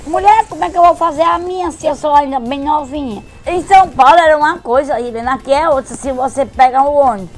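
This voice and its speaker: A middle-aged woman, co-hosting a comic news show in a small TV studio, talking at a desk microphone in an animated, teasing way. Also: sits high, quick pace, few pauses, soft background music. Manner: animated, teasing, stammers when excited. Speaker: comedy news show co-host. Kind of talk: comic improvised news commentary.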